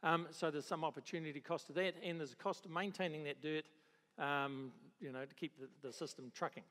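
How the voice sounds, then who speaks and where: A middle-aged man with a New Zealand accent giving a conference talk on a handheld mic, halting, with many restarts and dry understatement. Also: on the high side, fairly even intonation, a bit bright. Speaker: agricultural economist presenting at a conference. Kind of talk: conference presentation. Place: large conference hall. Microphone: handheld microphone. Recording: reverberant conference hall.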